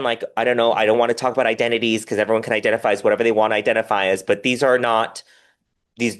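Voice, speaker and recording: A man talking casually and candidly as a podcast guest, animated and rambling, with self-deprecating humor. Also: medium pitch. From diaspora Korean, raised in the West.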